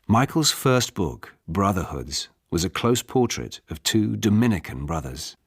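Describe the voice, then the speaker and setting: British guy narrating a novel, really low husky voice, dry studio sound. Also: very animated, measured pace, no room sound. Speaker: audiobook narrator. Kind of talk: scripted literary narration.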